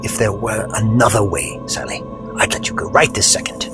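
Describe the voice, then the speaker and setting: A man playing a frail, kindly old gentleman, weary and courteous, in an audio drama. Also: on the low side, expressive, normal speed, over loud music. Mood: tender. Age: older. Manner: doddery, courteous, sighing and groaning. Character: frail, weary, kindly old Doctor leaning on a walking stick.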